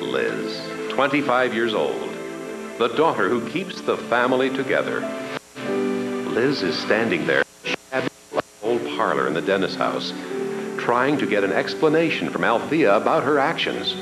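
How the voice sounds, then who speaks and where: An older man narrating a radio serial on stage in a measured, dramatic announcer's manner. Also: lowish voice, rough recording. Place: hotel ballroom stage with audience. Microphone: stand mic on stage. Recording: organ music loud under voice. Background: organ music.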